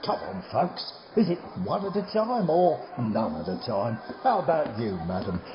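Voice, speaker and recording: A man's voice: medium pitch, sing-song, normal speed, close to an everyday voice. An actor playing a cheery, teasing street hawker in a radio play, calling out a showman's patter. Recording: dull, echoey, with clear background noise.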